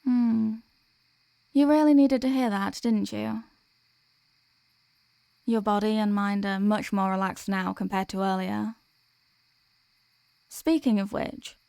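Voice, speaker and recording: A woman playing a gentle, protective sleep paralysis demon in an ASMR comfort roleplay, speaking in a soothing, tender, reassuring way. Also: fairly high pitch, gravelly, no echo. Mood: tender, caring.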